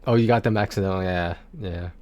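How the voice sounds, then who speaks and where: A man chatting casually on a game livestream, talking in a rambling, halting way and often repeating his words. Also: low-pitched, a little mellow, highs cut off.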